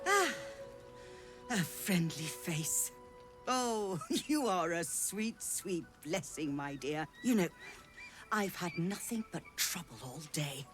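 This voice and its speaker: An actress playing a genteel, self-pitying scholarly trader in a video game, theatrical and effusive with affected politeness. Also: female voice, lowish voice, animated, slow, a bit bright, soft background music. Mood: plaintive, effusive. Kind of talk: dramatic video game acting.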